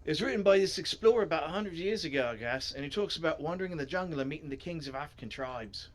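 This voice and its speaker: A man plays a boy who is chummy and keen, with an Australian accent. His voice sits fairly high, and he talks in friendly slang like a sporty kid in a story game. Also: expressive, fast, close to an everyday voice. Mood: chummy, eager. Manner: matey, eager, slangy. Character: chummy boy with big feet who loves running.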